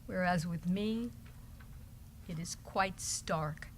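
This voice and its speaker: A woman giving a deliberate, dramatic reading of a love letter. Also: lowish voice. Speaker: reader in an amateur readers theater. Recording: background noise, live room ambience.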